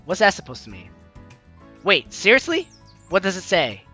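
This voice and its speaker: A woman's voice: fairly low pitch, wildly swooping pitch, moderate pace, bright, forceful tone, lo-fi sound, soft background music. A young woman hosting a game playthrough, reading the story aloud expressively and commenting as she goes, close on the mic. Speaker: let's play host.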